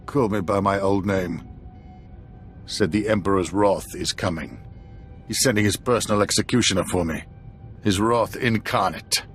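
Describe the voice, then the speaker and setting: Under game music, a deep-voiced fallen warrior haunted by guilt. He is grave and brooding, a penitent confessing in a video game cutscene. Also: normal speed, pauses often, a bit bright. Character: tormented repentant dark Jedi, former Imperial, guilt-ridden man.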